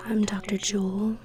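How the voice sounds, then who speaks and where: A woman performing an ASMR roleplay as a weary, drily unimpressed spooky doctor, whispering softly. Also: medium pitch, rough recording. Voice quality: soft whisper. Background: music under the voice.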